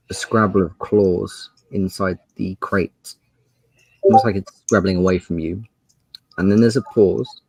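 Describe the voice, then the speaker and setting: A man's voice: sits low, darkish tone, no room sound, rough recording. A man narrating a tabletop role-playing game as game master, describing scenes and asking the players for rolls.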